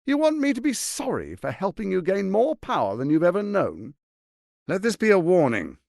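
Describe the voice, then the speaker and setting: Scheming tyrant lord, silky, condescending, theatrical video game delivery, highly animated intonation, dry studio sound. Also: male voice, moderate pace, vocal fry, no room sound, exaggerated voice. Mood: menacing. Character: suave, ambitious, scheming male tyrant lord. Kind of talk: dramatic video game voice acting.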